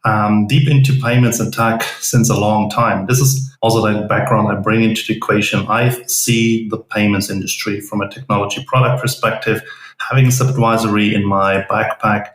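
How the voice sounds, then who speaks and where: A man's voice: on the low side, fairly even intonation, normal speed, a bit bright, roomy sound, rough recording. A man from Germany with a German accent, speaking as a guest on a podcast with enthusiastic, slightly non-native phrasing. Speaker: podcast guest, tech co-founder. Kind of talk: podcast interview.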